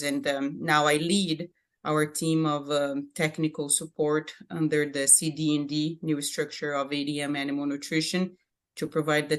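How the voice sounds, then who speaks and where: A woman in her thirties with a Brazilian accent, originally a Portuguese speaker, answering interview questions as a guest with many hesitant fillers. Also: low-pitched. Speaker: guest, animal nutrition director.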